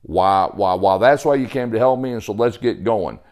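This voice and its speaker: A man's voice: lowish voice, moderate pace, darkish tone, lightly acted. A man voicing a boastful, fatherly woodsman in a story, with folksy turns of phrase and emphatic repetition. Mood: hearty encouraging. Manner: folksy drawl phrases, repeats himself emphatically. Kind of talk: storytelling character voice.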